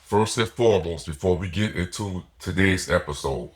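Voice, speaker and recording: A man's voice: sits low, normal speed. A man hosting a motivational podcast, sounding nasal and foggy from a cold, speaking with earnest emphasis. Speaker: podcast host.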